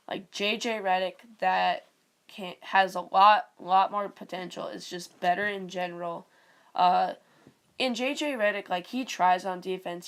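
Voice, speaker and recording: A woman with a raspy voice and a stuffy nose, giving rambling, casual sports commentary for her video channel. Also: lowish voice. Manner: rambling, full of "like" and "you know". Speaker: video creator.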